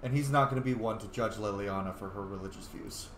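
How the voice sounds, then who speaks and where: A man's voice: on the low side, fast, a bit bright. Casual man commentating in an echoey room. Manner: informal, rambling, thinking aloud. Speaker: game streamer, role-player. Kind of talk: casual playthrough commentary, reading dialogue choices in character. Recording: roomy, noisy home recording.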